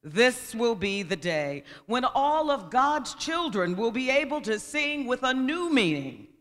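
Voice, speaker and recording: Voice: mid-range voice, big pitch swings, a little slow, a bit bright. A male voice giving a dramatic speech reading with an orator's delivery at a commemorative event. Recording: reverberant hall.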